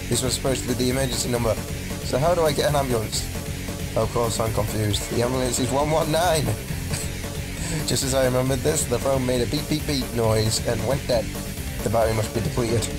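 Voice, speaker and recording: A man doing a let's play, reading visual novel narration aloud dramatically and adding casual, jokey asides. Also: medium pitch. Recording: game music behind the voice.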